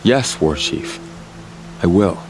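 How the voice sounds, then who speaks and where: Game casting: devoted young tribesman fighter, male, very low-pitched, sincere and dutiful delivery. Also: expressive, slow pace, music in the background. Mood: eager, dutiful. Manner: earnest, dutiful. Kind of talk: scripted video game drama acting.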